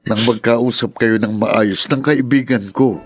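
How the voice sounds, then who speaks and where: A man's voice: mid-range voice, measured pace, over music, natural voice. A man playing a concerned, protective young friend, speaking earnestly and with sympathy in a radio drama. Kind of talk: Tagalog radio drama acting.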